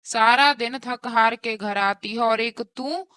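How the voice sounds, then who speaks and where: A woman's voice: a little high, takes it slowly, bright, forceful tone, smooth, dry recording, clean studio sound. A woman voicing a scolding, disapproving mother-in-law in a cartoon story, with a nagging manner. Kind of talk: scripted cartoon story dialogue.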